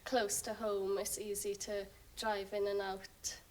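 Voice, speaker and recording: A woman's voice: mid-range voice, little pitch movement, measured pace, a little mellow, recorded from a distance. Hesitant young woman, level voice. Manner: hesitant, filler-heavy. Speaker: student guest. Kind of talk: casual interview answers.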